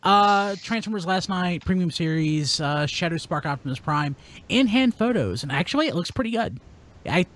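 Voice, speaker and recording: Man with lively, swinging pitch hosting. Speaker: podcast host reading out toy news. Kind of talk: casual panel chat.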